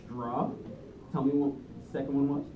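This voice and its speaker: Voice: medium pitch, level tone, moderate pace, narrow, tinny audio, background noise. A teacher doing an interactive read-aloud, prompting listeners with questions, recorded from a distance in a roomy space. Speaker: teacher reading aloud to children. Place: indoor room with a group of children.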